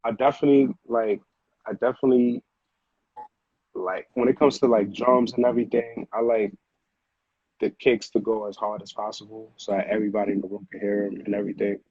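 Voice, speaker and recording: A man's voice: fairly low pitch, fairly even intonation, brisk, slightly rough, no room sound. A man, talking casually as an interview guest in slangy, repetitive phrasing, on a dull lo-fi recording. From Honduras, moved to the Bronx at eight. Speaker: music producer, interview guest.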